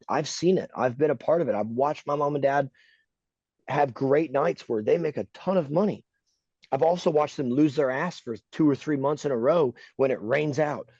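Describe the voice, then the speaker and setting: A man's voice: mid-range voice, dark tone, no echo, lo-fi sound. A man co-hosting a podcast, talking casually and bluntly, ranting with emphasis and frequent swearing. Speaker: podcast co-host.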